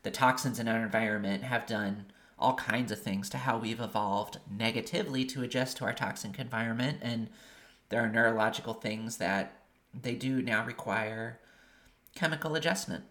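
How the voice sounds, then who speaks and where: Male podcast host, bright clear timbre, mid pitch, earnest opinionated explainer. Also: moderate pace. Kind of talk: solo monologue.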